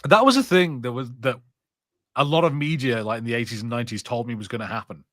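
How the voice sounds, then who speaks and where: A man talks casually as a podcast co-host in a bright, punchy voice. He rambles through anecdotes in halting starts, repeating words as he goes. The sound is very dry, with no room around it. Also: medium pitch, no echo. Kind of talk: casual chat and personal anecdotes.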